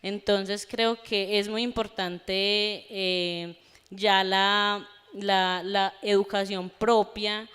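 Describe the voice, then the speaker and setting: A woman's voice: mid-range voice, little pitch movement, a bit bright, clean tone. Earnest young woman. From Colombia. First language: Gunadule. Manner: earnest, halting with restarts. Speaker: audience member. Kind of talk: public forum remarks. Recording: long hall reverb.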